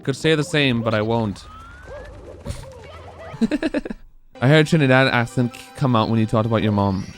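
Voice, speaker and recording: A man's voice: medium pitch, normal speed, pauses often, faint music behind. A man in his twenties speedrunning on a live stream, rambling casually and answering chat between moves.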